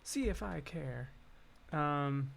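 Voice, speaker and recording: A man's voice: a little high, animated, extremely slow, vocal fry, a little hiss or hum. A man chatting casually on a livestream as he composes game music, thinking aloud in playful murmured asides. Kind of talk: casual livestream chat while composing at a keyboard. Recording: loud piano playing over the voice. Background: piano keyboard being played.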